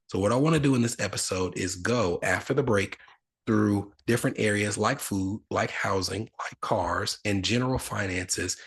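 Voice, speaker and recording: A man's voice: fairly low pitch, fairly bright tone, slightly rough. A man hosting a finance podcast, explaining things in a casual, conversational way with asides. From United States. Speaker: podcast host.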